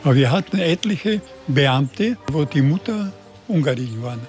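A man in his eighties from Burgenland with a slight Burgenland Croatian accent, answering interview questions in a halting way, often repeating words. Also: fairly high pitch, lively intonation, darkish tone, faint music behind. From Burgenland, Austria. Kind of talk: conversational interview.

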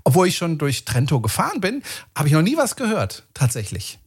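Brief: male radio host, husky voice, somewhat high, chatty and relaxed. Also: a little fast. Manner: relaxed and conversational. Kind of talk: radio interview.

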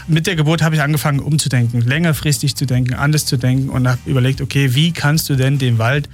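A man's voice: medium pitch, fairly even intonation, quick pace. A man from Thuringia with a mild regional accent, answering casually and reflectively as an interview guest. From Thuringia, Germany. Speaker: interview guest, tree-sponsorship organiser.

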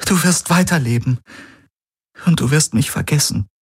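A woman's voice: low-pitched, moderate pace, husky, no room sound, studio quality, voice pushed up. A man voicing a grieving young woman in an audiobook, pleading as her voice breaks. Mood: sorrowful.

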